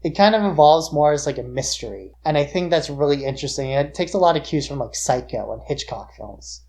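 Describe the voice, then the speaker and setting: A man's voice: on the high side, expressive, brisk, darkish tone. Male podcast co-host, casual film talk, explanatory and informal, frequent "like" between phrases.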